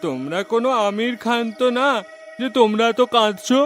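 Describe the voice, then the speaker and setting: A man voicing a gruff villager in a cartoon who scolds and brushes off the victims. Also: extremely high pitch, lively intonation, normal speed, faint music behind, voice pushed up. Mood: scornful. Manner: scolding, dismissive, loud. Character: loud gruff male villager, scornful.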